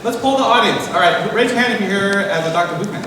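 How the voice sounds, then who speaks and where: A man's voice: high-pitched, expressive, fast talker, background noise. A man hosting a convention panel, talking loosely with many fillers. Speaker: panel host. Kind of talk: casual presentation. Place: large convention hall. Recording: echoey hall, distant mic.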